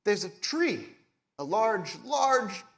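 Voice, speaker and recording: A man acts out an elderly male driver in a tabletop roleplay. He is pitched up high, flustered and servile, stumbling politely over his words. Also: sing-song, takes it slowly, a bit bright, heavily acted. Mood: flustered, deferential. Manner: polite, hesitant, fumbling for words. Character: old, wrinkled, flustered, deferential male driver servant.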